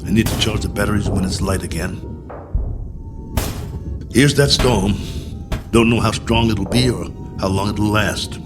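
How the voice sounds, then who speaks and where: An actor playing a stranded, injured astronaut colonel in a radio drama, weary and stubborn, with a clipped, dryly sardonic way of talking. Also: male voice, fairly low pitch, a little slow, long pauses, music in the background, close to an everyday voice. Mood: resigned.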